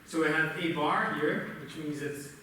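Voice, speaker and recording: A man with a Brazilian accent, a native Portuguese speaker, gives a technical conference lecture in a halting, explanatory way. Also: a little high, pushed and bright. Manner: halting, explanatory, often checks with "right?". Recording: roomy, noisy conference hall, distant mic.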